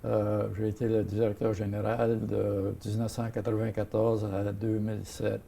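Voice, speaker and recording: An older man from Ottawa with a Franco-Ontarian French accent, speaking as an interview guest and pausing often with fillers and restarts. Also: fairly low pitch, monotone, vocal fry, dark tone. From Ottawa, Canada. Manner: hesitant, many fillers and restarts. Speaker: guest, seniors' association president. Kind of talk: casual interview talk.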